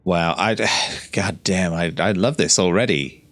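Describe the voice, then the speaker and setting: Low-pitched man, gushing gamer commentary. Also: slow, a bit bright, dry recording. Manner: gushing, easily delighted, muttering asides. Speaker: host of gameplay videos. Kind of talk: casual let's play commentary.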